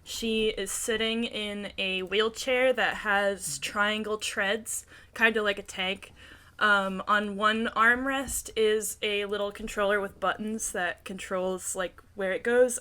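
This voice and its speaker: A woman player in a tabletop game speaks casually as she improvises a description. Her tone is bright and forward, and she goes slowly, in halting pieces. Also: mid-range voice, steady tone. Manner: unhurried, halting.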